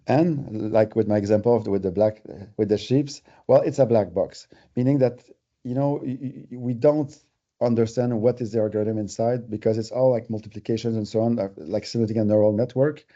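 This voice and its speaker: Man, French Canadian accent, native French speaker, lowish voice, explaining things casually on a webinar, muffled lo-fi sound. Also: fairly quick, warm tone. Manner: conversational, explanatory, uses everyday examples. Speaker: company presenter. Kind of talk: webinar presentation.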